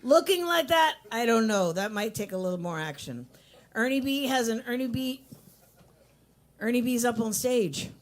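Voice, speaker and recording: A woman with a low voice hosting a live open mic in a coffee bar, teasing and hyping the crowd. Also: expressive, normal speed. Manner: playful, teasing, hyped-up announcing. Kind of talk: live emcee patter.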